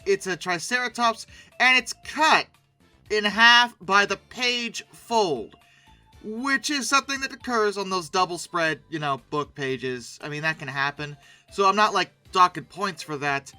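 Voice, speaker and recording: A video host delivers casual, humorous commentary in a very bright, sharp voice. The pitch is animated and swings widely, with emphatic exclamations and sarcastic asides. The sound is close and dry, with music underneath. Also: dry recording.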